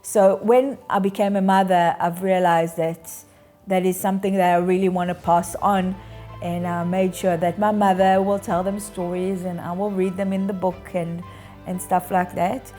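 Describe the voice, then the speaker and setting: A woman in her fifties giving a reflective, halting and earnest personal account in a documentary interview. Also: lowish voice, flat delivery, mellow. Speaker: interviewee, bereaved daughter. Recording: music underneath. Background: music.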